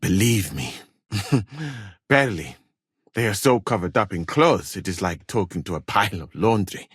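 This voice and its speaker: Casting: courteous, learned traveler-physician for a game; lowish husky voice, formal careful wording, expressive swings in pitch. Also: male voice, no echo, clean studio sound, exaggerated voice. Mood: amused, wry. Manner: measured, formal, careful phrasing. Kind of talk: video game character dialogue.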